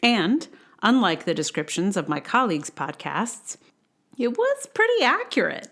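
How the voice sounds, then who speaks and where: A woman's voice: medium pitch, big pitch swings, measured pace, fairly bright tone. A woman hosting a podcast, speaking in a conversational, wry way with emphatic stresses. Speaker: podcast host and blogger. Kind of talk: casual intro chat and read-aloud blog.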